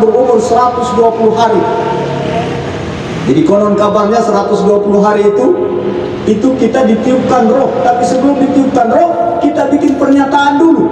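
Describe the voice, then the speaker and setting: A man preaching at a funeral gathering, telling a story and acting out its dialogue with lively emphasis. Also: very high-pitched. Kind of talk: funeral sermon. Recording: echoey hall, distant mic, noisy.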